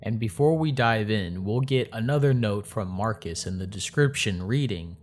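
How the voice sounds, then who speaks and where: A man's voice: fairly low pitch, lively intonation, moderate pace. A man hosting an analysis video, reading scripted explanatory narration addressed to his viewers. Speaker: host of a video analysis channel.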